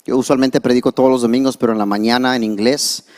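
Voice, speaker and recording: A man's voice: medium pitch, steady tone, fast, darkish tone. A young male pastor, a native English speaker, preaching a sermon with casual asides and restarts.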